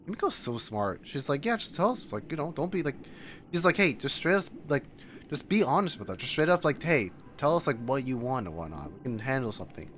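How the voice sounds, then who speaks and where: A man's voice: medium pitch, very animated, brisk, fairly bright tone, slight background noise. A man giving casual live reaction commentary as a streamer, in short informal interjections.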